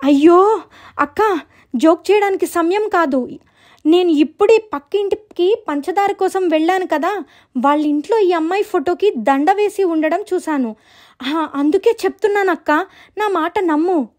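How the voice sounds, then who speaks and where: Woman acting a high-pitched, panicky younger sister. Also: normal speed, darkish tone, natural voice. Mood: panicked, insistent. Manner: anxious, exclaiming. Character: frightened, urgent young younger sister in a ghost story.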